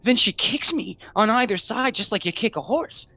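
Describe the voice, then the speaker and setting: An actor playing a troubled, haunted young man, a younger brother, in a halting radio drama performance. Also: sits high, expressive, sharp tone, exaggerated voice. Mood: frightened. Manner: hesitant, halting.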